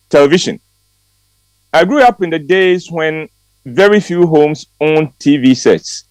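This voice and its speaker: A man in his sixties from Ghana, with a Ghanaian accent, chatting on morning radio and reading a reflective commentary in a measured way with hesitant ums. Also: fairly high pitch, darkish tone, no room sound, rough recording. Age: 60s. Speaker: regular commentary guest. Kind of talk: warm radio chat and read commentary.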